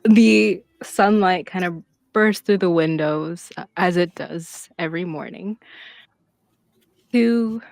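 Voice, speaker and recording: Female game master, lowish pitch, slow halting improvised narration, encouraging toward players. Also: creaky, dry recording.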